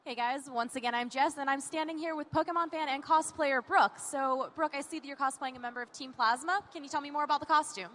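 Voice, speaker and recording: A woman presents and interviews on an event floor with a polished, upbeat delivery. She speaks quickly in a bright, somewhat high voice. A faint hum sits behind her. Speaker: event reporter host.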